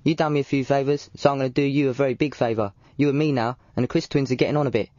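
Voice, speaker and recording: An actor playing a cocky, ambitious gangster in a video game, with a London accent. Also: male voice, mid-range voice, steady tone, darkish tone, no echo, natural voice. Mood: smug, scheming. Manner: cocky, brisk. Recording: dull, dry sound.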